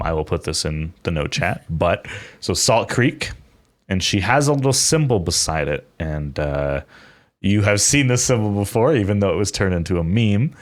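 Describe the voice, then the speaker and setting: A man improvising narration as a tabletop game master, in a halting, thoughtful way with pauses. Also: low-pitched.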